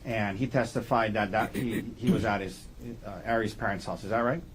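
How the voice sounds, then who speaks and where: A man speaking as an attorney in courtroom questioning, putting halting, leading questions and checking each with a short tag. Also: lowish voice, fairly even intonation, a little hiss or hum. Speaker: defense attorney questioning a witness.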